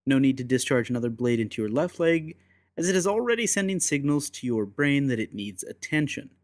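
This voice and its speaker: An actor playing a ruthless gentleman secret agent, speaking with deliberate, courteous menace in a dramatised audiobook. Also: mid-range voice, very animated, no echo, studio quality, natural voice. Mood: controlled anger.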